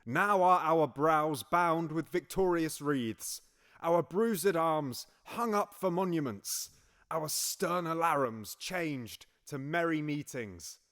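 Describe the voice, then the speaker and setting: An actor playing a royal duke giving a grand, declamatory oration in a Shakespearean audio drama. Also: male voice, a little high, expressive, a bit bright. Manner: declamatory, deliberate. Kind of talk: classical Shakespearean audio theatre.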